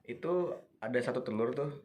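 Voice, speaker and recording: A young man, a student guest on a radio talk show, explaining things in a relaxed, storytelling way. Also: medium pitch, moderate pace, a little hiss or hum.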